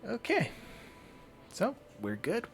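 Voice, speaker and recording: A man hosting a game livestream, chatting casually in a halting, rambling way. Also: high voice, huge pitch swings, faint music behind. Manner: halting, rambling delivery with throat clearing. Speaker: game streamer, host.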